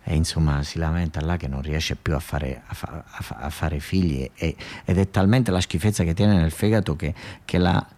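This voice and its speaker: Male monologue storyteller, low-pitched, southern Italian accent, colloquial, halting and repetitive radio-theatre delivery. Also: darkish tone. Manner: conversational, repeats phrases for emphasis, halting restarts.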